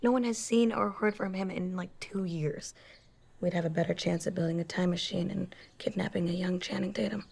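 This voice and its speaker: A woman's voice: fairly low pitch, steady tone, a little fast, gravelly, a little mellow, husky. An actress playing a casual, skeptical young woman in chatty screen dialogue. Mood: dismissive.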